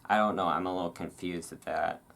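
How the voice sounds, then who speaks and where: A host reading aloud in a relaxed ASMR style, with casual, musing asides. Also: deep voice, fairly quick, vocal fry. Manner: rambling, musing asides between read passages.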